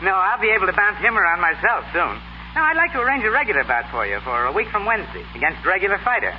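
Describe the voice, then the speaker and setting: An actor playing a genial, well-to-do gentleman who backs boxers, speaking politely and briskly in a 1930s radio comedy. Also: high voice, very animated. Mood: eager, casual. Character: genial well-to-do gentleman, eager boxing backer. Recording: muffled, noisy 1930s radio broadcast recording.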